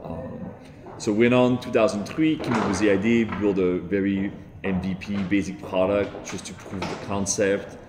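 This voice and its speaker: A man with a French accent speaks in a low, grounded voice, steady and fluent with the occasional um and repeat. He sits in a large open-plan office, and the sound rings with a long, roomy reverb. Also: moderate pace, noisy background. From France. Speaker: entrepreneur guest. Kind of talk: seated interview, telling his story.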